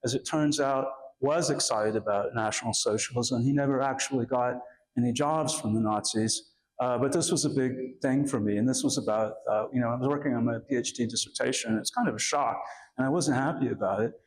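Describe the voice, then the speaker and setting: A man with a raspy voice from a cough, giving an academic lecture in a halting way with many pauses and fillers. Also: medium pitch, poor audio. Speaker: guest lecturer.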